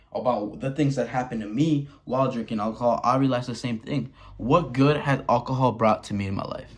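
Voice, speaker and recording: A man's voice: medium pitch, a little mellow. A man in his early twenties from Wisconsin, talking bluntly and candidly to camera, swearing often and restarting his sentences. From Wisconsin, USA. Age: 20s. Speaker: vlogger. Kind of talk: candid personal monologue.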